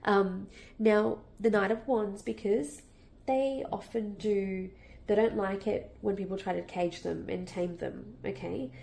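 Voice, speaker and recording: Woman tarot reader, nasal and croaky from a cold, gravelly, gently reassuring. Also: medium pitch, steady tone, unhurried. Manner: warm, reassuring, with many fillers and restarts. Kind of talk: casual spoken tarot reading.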